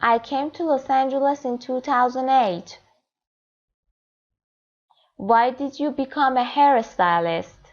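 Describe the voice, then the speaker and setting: A woman teaching English grammar, explaining fast in Persian and reading English example sentences slowly and clearly. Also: high-pitched, a little mellow, highs cut off. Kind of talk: grammar lesson.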